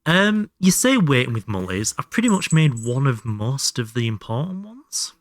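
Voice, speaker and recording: A man's voice: medium pitch, very animated, normal speed, no echo, clean studio sound. A man doing casual live game commentary and chatting to viewers, sweary and self-deprecating. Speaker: live streamer playing a video game.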